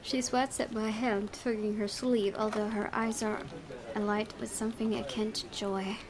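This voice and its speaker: A woman's voice: medium pitch, level tone, moderate pace, crowd noise. A woman hosting a gaming video, reading visual novel narration aloud and occasionally stumbling and correcting herself.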